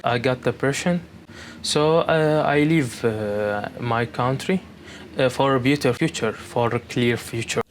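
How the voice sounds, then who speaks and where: Halting Syrian man, a doctor. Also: mid-range voice, a little hiss or hum. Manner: halting, many pauses and fillers. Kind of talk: interview testimony.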